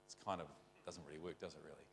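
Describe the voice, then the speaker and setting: A man's voice: low voice, steady tone, racing, a bit bright, roomy sound, big-room sound, faint music behind. A middle-aged man with a New Zealand accent preaching a conversational, rambling sermon full of rhetorical questions. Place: church.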